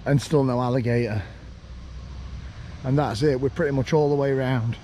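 A young man giving a relaxed, chatty walking tour outdoors, pointing things out as he goes, recorded on a handheld camera. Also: mid-range voice, normal speed, slight background noise. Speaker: vlogger. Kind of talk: casual walking tour commentary. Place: outdoors walking around a lakeside town.

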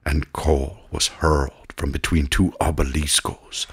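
A man narrating fiction for an audiobook in a husky voice, with measured, deliberate delivery. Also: a bass voice, level tone, a little hiss or hum.

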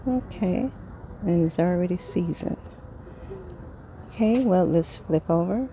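Slow, mellow-voiced woman with creaky tone. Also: lowish voice, a little hiss or hum. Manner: unhurried, item-by-item, pausing between phrases. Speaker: vlogger reading a grocery sales flyer. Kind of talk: casual product read-through.

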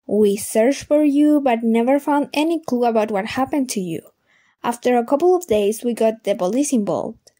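A woman acting as an earnest, gentle funfair worker in an audio drama, telling things carefully. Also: on the high side, moderate pace, natural voice. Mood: worried.

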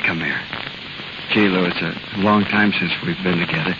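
An actor playing a boyish young carnival lad with slangy speech, in 1930s radio drama style. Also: male voice, low voice, steady tone, moderate pace, natural voice. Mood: lovestruck. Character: sheepish, lovestruck young carnival lad, evasive son. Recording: scratchy, dull 1930s radio broadcast.

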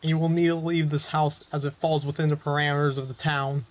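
Man acting a flat, higher-voiced hostile townsman. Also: a little fast, close to an everyday voice. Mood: threatening. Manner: blunt, threatening. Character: hostile, unyielding townsman enforcing eviction. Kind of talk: fantasy roleplay audio drama.

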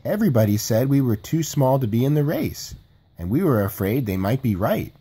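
A man's voice: mid-range voice, big pitch swings, brisk, warm tone, slightly rough. A man voicing a small, timid young piglet in a storybook, sweet and anxious, with a hesitant, earnest manner. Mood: brightening.

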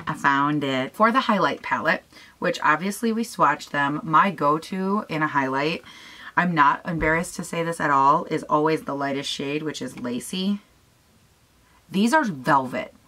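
Raspy-voiced young woman gushing over makeup. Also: sits low, expressive, unhurried, pushed and bright. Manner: gushing and chatty, talks to herself. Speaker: beauty vlogger. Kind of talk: casual makeup tutorial chat. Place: small room at home, indoors.

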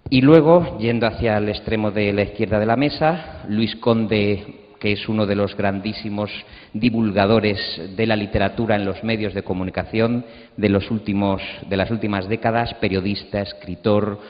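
A man's voice: lowish voice, steady tone, normal speed. A middle-aged man with a Castilian Spanish accent, moderating a panel and introducing its speakers into a handheld microphone on an auditorium stage. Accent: Castilian Spanish from Spain. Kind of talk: introducing panelists at a round table. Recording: muffled, reverberant auditorium recording.

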